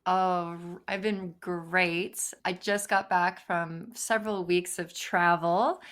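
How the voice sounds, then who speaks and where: A woman's voice: fairly low pitch, fairly even intonation, slow, a bit bright. A woman co-hosting a podcast, chatting in a cheerful, friendly way. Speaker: podcast co-host. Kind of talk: casual podcast chat.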